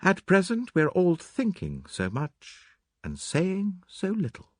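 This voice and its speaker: A man's voice: high-pitched, huge pitch swings, slow pace, dry recording, studio quality, voice pushed up, full character voice. A man voicing a poised, enigmatic woman with a wry, understated manner in an audiobook. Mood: quietly amused. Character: cool, enigmatic upper-class English woman, quietly teasing. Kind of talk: dramatised audiobook reading.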